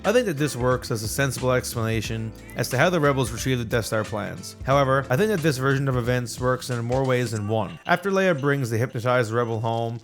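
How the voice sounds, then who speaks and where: A man's voice: mid-range voice, expressive, fairly quick, hardly any pauses. A man narrating a scripted video essay. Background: background music.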